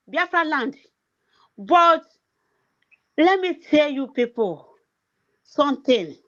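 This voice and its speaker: Nigerian woman, very high voice, emphatic political rant, dull lo-fi stream audio. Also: a bit bright. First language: not English. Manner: repetitive, emphatic, heavy filler "eh". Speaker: activist livestreamer.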